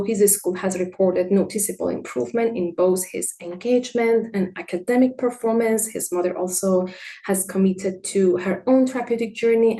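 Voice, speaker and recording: Woman, native farsi speaker, art therapist, mellow tone, measured gentle delivery, case presentation. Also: mid-range voice. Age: adult with a child. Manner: measured, gentle, partly read from notes. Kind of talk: presenting a clinical case study.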